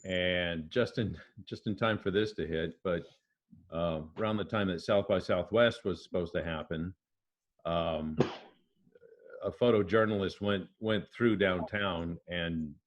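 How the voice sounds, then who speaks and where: A man chatting casually on a video call with measured, hesitant phrasing. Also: low-pitched. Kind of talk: casual chat. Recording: dull, lo-fi call audio.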